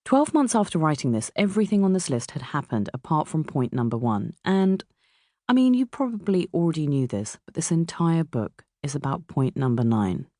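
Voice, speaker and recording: A woman's voice: low-pitched, very animated, moderate pace, warm tone, dry recording. Dry, close southern English woman narrator. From England. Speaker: author narrating own audiobook. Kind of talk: scripted memoir narration. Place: dry recording studio.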